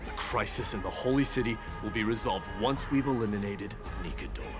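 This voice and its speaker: A man's voice: on the low side, a little fast, close to an everyday voice. A man playing a proud, combative warrior in a video game, speaking in an imperious, declamatory way. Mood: indignant, scornful. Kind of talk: dramatic video game acting. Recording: game music behind the voice.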